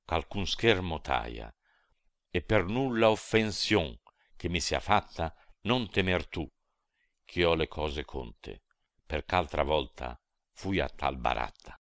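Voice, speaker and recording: A man voicing a wise old guide who speaks calmly and solemnly to reassure his companion. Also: very deep voice, takes it slowly, no echo, clean studio sound, exaggerated voice. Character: wise old male guide, calm and steady.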